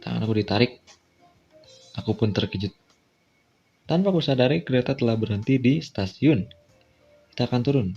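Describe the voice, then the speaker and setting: A man's voice: mid-range voice, lively intonation, a little fast, vocal fry, long pauses, a little mellow, breathy edge. A man with an Indonesian accent reads a visual novel aloud as a casual playthrough, dropping in offhand asides. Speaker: video game player reading a visual novel aloud. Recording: dull lo-fi mic, music behind.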